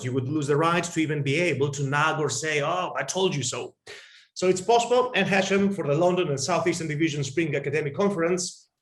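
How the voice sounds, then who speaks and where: A man's voice: fairly high pitch, a bit bright. Playful Greek-accented man, roomy sound. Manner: witty, playful, joking asides. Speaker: conference co-chair. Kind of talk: closing remarks at an online conference. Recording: roomy echoey video-call audio.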